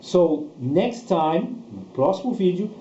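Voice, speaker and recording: Man, Brazilian accent, language teacher, soft dark tone, repetitive didactic delivery, echoey room, muffled audio. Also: high voice, lively intonation, slow. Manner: didactic, often repeats and checks with short tag questions. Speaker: English teacher. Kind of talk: bilingual grammar lesson. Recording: dull, roomy sound with faint noise.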